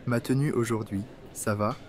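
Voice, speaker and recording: A man reading scripted workplace dialogues for French learners, in a plain, clear way. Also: mid-range voice, fairly even intonation, moderate pace, darkish tone, soft background music, close to an everyday voice.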